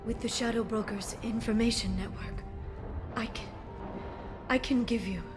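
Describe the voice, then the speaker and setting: An actress playing an earnest, soft-spoken, determined young alien woman in a video game, with halting, emotional delivery. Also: a little high, fairly even intonation, natural voice. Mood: nervous, earnest. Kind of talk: video game cutscene acting. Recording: loud music behind the voice.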